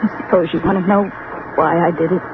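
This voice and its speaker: A woman's voice: on the low side, steady tone, quick pace, close to an everyday voice. An actress playing a lonely, wary and weary young woman, fragile and hesitant, in an old radio drama. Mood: despairing. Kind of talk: mid-century radio drama acting. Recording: tinny, noisy vintage radio recording.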